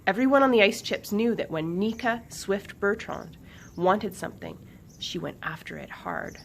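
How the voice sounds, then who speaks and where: A woman reading a children's book aloud in an expressive, dramatic narrating style, stretching some words and hushing others. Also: medium pitch, moderate pace, vocal fry. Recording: faint background noise.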